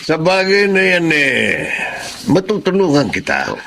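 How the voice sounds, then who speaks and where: A man playing a kindly, helpful older factory supervisor in a Filipino drama, benevolent and deliberate, with a Filipino accent. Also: a little high, wildly swooping pitch, vocal fry, bright, forceful tone, a little hiss or hum, full character voice. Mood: considering. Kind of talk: Tagalog radio-style drama acting.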